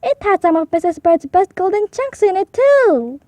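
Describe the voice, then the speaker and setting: Lady doing a polite little boy bear from a kids book, earnest n excitable, really high and animated. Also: moderate pace, dry recording. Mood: upset, exclaiming. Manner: earnest, exclaiming. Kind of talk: children's storybook character voice.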